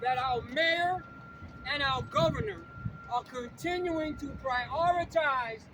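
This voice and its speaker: A man's voice: extremely high pitch, takes it slowly. An older man speaking at an outdoor protest rally, emphatic and repeating his lines for the crowd to echo. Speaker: rally speaker and campaign co-director. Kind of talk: impassioned protest rally speech. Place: outdoors on a city street. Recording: distant mic with loud street traffic.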